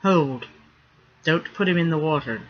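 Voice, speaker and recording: A man's voice: a little high, lively intonation, a little slow. A man voicing a bossy, wry pirate mate with blunt, commanding sailor talk. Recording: dull lo-fi recording.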